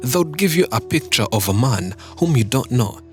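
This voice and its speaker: A man narrating a documentary from a script in a steady, measured way. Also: mid-range voice. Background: background music.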